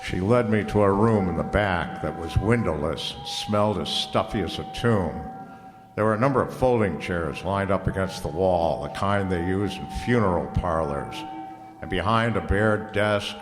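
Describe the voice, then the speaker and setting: An actor playing a wry, laconic private detective in an old-time radio noir drama, slangy and dry. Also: male voice, deep voice, moderate pace, close to an everyday voice. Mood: narrating, wry. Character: wry, hardboiled male private detective narrator. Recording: reverberant hall, music underneath.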